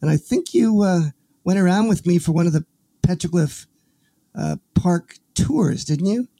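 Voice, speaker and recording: A man hosting a podcast, folksy and energetic, often restarting his words, with a dry close-mic sound. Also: sits high, measured pace, dry recording. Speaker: podcast host. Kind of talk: casual interview with promo reads.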